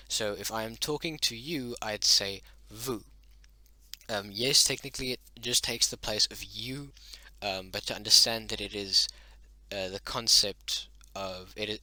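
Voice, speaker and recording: A man's voice: on the low side, pushed and bright, no room sound, low-quality recording. A man whose first language is Dutch-derived, explaining his invented language in a casual spoken lesson, hesitant with many ums and self-corrections.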